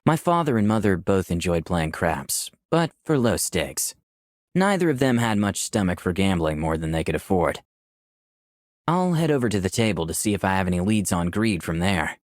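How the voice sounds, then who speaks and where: An actor playing a composed, charming incarnation of lust, in visual novel dialogue and inner monologue. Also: male voice, deep voice, sing-song, moderate pace, a little mellow, no echo, clean studio sound. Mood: musing.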